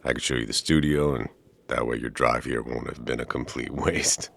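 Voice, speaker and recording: Man acting as a male art professor, extremely low pitch, heavy vocal fry, charming, playful, flirty, easygoing, intimate ASMR roleplay. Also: fairly even intonation, full character voice. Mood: friendly, welcoming. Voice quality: very deep, gravelly.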